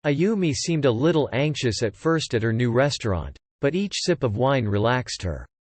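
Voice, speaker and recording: A man narrates a literary audiobook drama at a middle pitch, telling the story as scripted third-person narration. The recording is dry and close, with very little room sound. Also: big pitch swings, moderate pace, dry recording.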